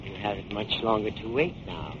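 An actor playing a menacing seafaring killer who gives curt, threatening orders in a 1940s radio crime drama. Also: male voice, fairly low pitch, normal speed, natural voice. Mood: cold menace. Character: menacing gruff seafaring killer holding a woman captive. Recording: noisy, dull 1940s radio recording.

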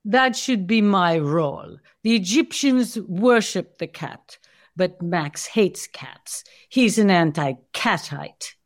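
A woman playing an aloof, sardonic cat in an audio play, with a languid, disdainful, deadpan manner. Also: lowish voice, slow. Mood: sulky. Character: aloof, sardonic female cat, haughty neurotic feline.

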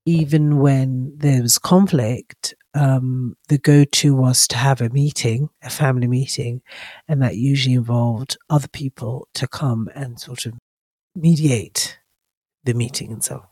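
Stuffy-nosed woman host with a cold, slow thoughtful solo chat, lots of ums, dry close mic. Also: very deep voice, darkish tone, no echo. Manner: reflective, halting, many ums and restarts. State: congested from a cold. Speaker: podcast host. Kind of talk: casual solo podcast talk.

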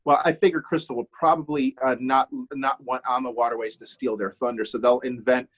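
A middle-aged man whose first language is German, talking as a podcast guest in a casual chat, with halting, hesitant phrasing. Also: mid-range voice, little pitch movement. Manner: hesitant, many restarts and fillers.

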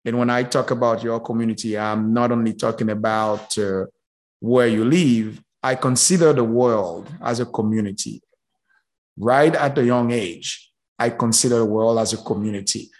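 A middle-aged man from Haiti, a guest speaking casually in an interview, halting and repeating words as he goes. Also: mid-range voice, darkish tone. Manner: slow, halting, many repeated words and fillers. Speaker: guest, business professor.

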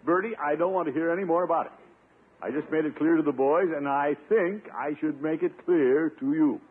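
An actor playing a vain, flustered middle-aged uncle in a 1950s radio comedy, blustering defensively with exasperated chuckles. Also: male voice, fairly high pitch, brisk, slightly rough. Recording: scratchy, tinny 1950s radio recording.

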